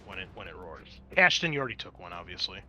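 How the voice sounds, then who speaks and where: A man's voice: low-pitched, big pitch swings, fast, sharp tone, faint music behind. A man acting as game master, casually explaining rules and narrating a tabletop game.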